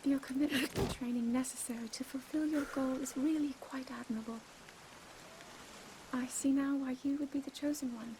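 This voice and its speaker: A woman's voice: high-pitched, fairly even intonation, fairly quick, noisy background, natural voice. A woman playing an earnest, scholarly young fantasy princess in a video game, with a British accent and formal, precise diction. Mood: reflective. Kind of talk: dramatic video game cutscene acting. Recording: music behind the voice.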